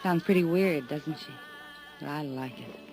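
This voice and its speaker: A coy, mysterious flirt. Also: female voice, deep voice, measured pace, a little mellow, low-quality recording. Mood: teasing. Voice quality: coy, teasing. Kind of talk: 1950s radio drama acting. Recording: old radio recording with music.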